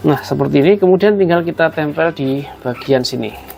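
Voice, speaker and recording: A man's voice: on the high side, brisk, gravelly, a little mellow. A man explains a hands-on job step by step in a casual, conversational way, addressing viewers as friends. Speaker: host of a tutorial video.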